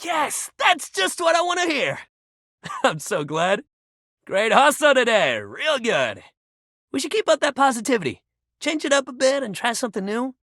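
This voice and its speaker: Animated bro-ish sports coach shuttlecock. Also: male voice, extremely high pitch, moderate pace, dry recording, clean studio sound, full character voice. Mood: warm, encouraging. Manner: slangy, bro-ish, encouraging, swearing casually. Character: living shuttlecock, upbeat laid-back young male sports coach. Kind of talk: video game character voice acting.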